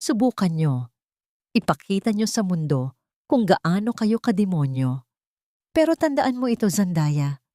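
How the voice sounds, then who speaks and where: A woman's voice: mid-range voice, sing-song, a little slow, long pauses, mellow, dry recording, clean studio sound. A woman voicing a brooding, intense businessman son in a narrated drama story. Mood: cold threat. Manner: intense, threatening.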